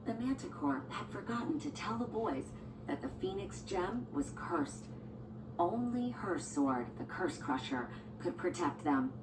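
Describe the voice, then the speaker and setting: A woman's voice: medium pitch, big pitch swings, unhurried, background noise. A woman reading a scripted children's storybook as narrator. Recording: echoey, distant, music behind.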